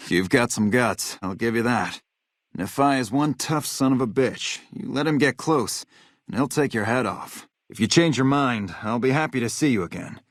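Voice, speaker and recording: An actor playing a hard-bitten, vengeful military officer in a video game, blunt, profane and matter-of-fact. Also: male voice, medium pitch, lively intonation, normal speed, dry recording, studio quality, natural voice. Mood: grim, warning. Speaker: game character, army officer.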